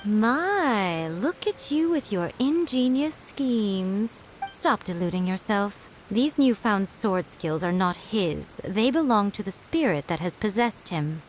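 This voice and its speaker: A sly, teasing fox-spirit priestess. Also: female voice, mid-range voice, expressive, slight background noise, poor audio. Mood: cold. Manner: silky, mocking, unhurried. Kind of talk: video game voice acting.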